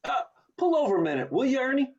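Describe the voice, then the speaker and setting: Radio drama: male actor as an earnest, stubborn young man, emphatic and very animated, dulled by a video-call line. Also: very high voice, fast talker. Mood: eager. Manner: stammering, emphatic. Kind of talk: amateur radio play acting. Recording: muffled video call audio.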